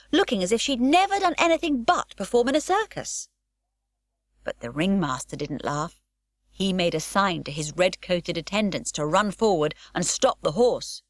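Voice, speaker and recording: Expressive woman reading a children's story. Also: fairly high pitch, dry recording, studio quality. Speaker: audiobook narrator. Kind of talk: scripted storybook narration.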